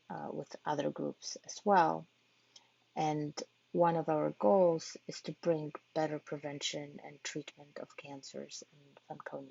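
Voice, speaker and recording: A low-voiced woman from Poland with a heavily gravelly, husky tone, presenting her research to patient families. She speaks in a measured, earnest way and pauses now and then to find a word. The audio is dull and lo-fi, as from an online talk. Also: a little mellow. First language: Polish. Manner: measured, earnest, occasional hesitations. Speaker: physician-scientist giving a research talk.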